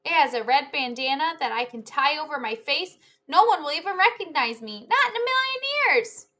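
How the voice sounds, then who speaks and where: Woman reading as eager, bright-voiced young boy. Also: high voice, lively intonation, brisk. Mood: proud.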